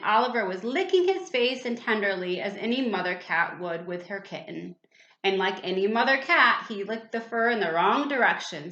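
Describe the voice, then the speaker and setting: A woman's voice: fairly high pitch, fairly bright tone. A woman reading a children's storybook aloud, expressively, with little asides and questions to young listeners. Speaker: teacher reading a picture book aloud. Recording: echoey room, slightly dull sound.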